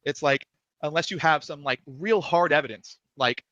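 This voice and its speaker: A man with a soft, fairly high voice, arguing his case in an animated, defensive way on a video call, full of restarts. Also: normal speed, dry recording, lo-fi sound. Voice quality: soft, higher voice. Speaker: guest. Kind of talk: heated casual debate on a livestream.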